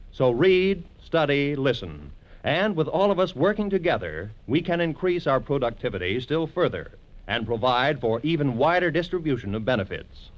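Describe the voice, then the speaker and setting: An American man announcing in scripted 1940s radio style, with measured, earnest and emphatic delivery. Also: medium pitch. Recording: muffled old radio broadcast.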